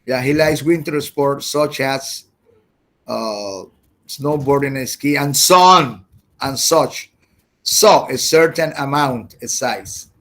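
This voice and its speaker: A man who speaks Spanish as his first language, teaching an English grammar class online, halting and repeating his words as he explains. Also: medium pitch, fairly bright tone.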